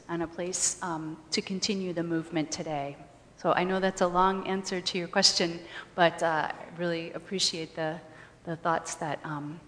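Female guest lecturer, slightly low voice, informal and explanatory public talk, recorded in a long-reverb hall. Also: a bit bright, a little hiss or hum. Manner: explanatory, storytelling. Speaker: guest speaker, history lecturer. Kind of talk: informal public talk answering audience questions.

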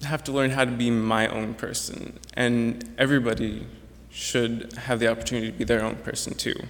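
A man's voice: medium pitch, level tone, normal speed, a bit bright. A young man, a graduating student, giving an earnest, reflective prepared speech to an audience. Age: young adult. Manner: earnest, reflective, with some restarts. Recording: echoey hall with long reverb.

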